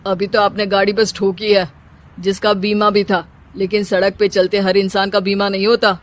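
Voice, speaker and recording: A woman voicing a showroom manager in a comic cartoon story, reassuring and gently admonishing. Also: mid-range voice, flat delivery, normal speed, lightly acted. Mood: reassuring, cautioning.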